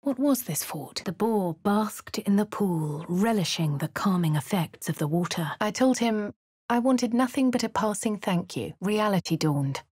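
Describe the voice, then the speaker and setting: A woman voicing a refined, posh young adventurer with a British accent, speaking crisp, clipped game lines and narration. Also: mid-range voice, animated, normal speed, a little mellow, dry recording, studio quality. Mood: composed. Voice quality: crisp, well-spoken. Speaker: player character.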